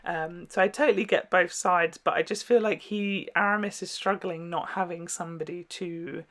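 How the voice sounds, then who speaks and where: A man's voice: sits high, fairly even intonation, normal speed, fairly bright tone. A man giving a casual, candid TV reaction, rambling and gushing with some swearing. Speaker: reaction video host. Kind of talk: casual TV episode reaction chat.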